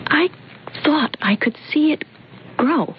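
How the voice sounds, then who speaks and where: A woman's voice: high voice, lively intonation, slow pace. An actress playing a sensitive, impressionable young woman with hesitant wonder, in old-time radio drama style. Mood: wistful, confiding. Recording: muffled radio recording with noise.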